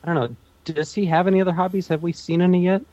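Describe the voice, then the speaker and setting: A man's voice: high voice, steady tone, fast talker, warm tone, lo-fi sound, slight background noise. A man co-hosting a casual TV recap chat, joking with a playful show of indignation. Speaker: podcast co-host.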